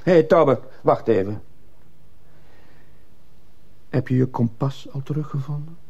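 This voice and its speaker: Dark, husky, troubled schoolteacher. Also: male voice, fairly low pitch, animated, normal speed, dull audio. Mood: probing, insistent. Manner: restrained, insistent questioning. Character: troubled, quietly probing male schoolteacher. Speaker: actor in a radio play. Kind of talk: Dutch-language radio drama acting.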